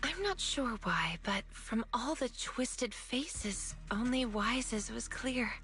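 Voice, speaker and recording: A gentle young woman in a story-driven game. Her voice sits a little high and comes out soft, earnest and measured. She sounds apologetic and sincere, with faint music underneath. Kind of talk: video game story voice acting.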